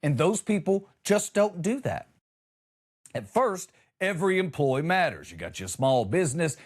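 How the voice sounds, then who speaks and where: A man's voice: on the high side, very animated, moderate pace, breathy edge. A man hosting a comedy show, delivering a conversational monologue with exasperated, emphatic punchlines.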